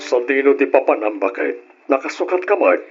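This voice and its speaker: An actor playing a concerned middle-aged husband and father in a radio drama, with a husky voice and an Ilocano accent. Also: mid-range voice, lively intonation, brisk, loud music behind the voice, natural voice. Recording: muffled old radio recording.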